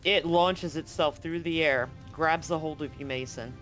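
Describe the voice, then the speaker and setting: Higher-voiced male game master over music. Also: moderate pace, fairly bright tone. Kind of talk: improvised tabletop game narration and rules talk. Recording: music behind the voice.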